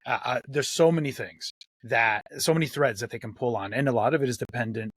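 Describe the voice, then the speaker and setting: A man's voice: mid-range voice, a little fast, a bit bright, husky, no room sound. A man talking casually and thoughtfully in an interview as a game master, often repeating phrases for emphasis.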